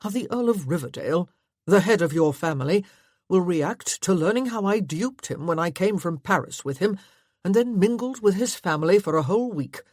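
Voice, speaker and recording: A woman acts out a stubborn husband in a period romance audiobook, curt and sarcastic. His voice is husky and forceful, with formal, clipped phrasing in a dry recording. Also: on the low side, lively intonation, normal speed, no room sound. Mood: bitter.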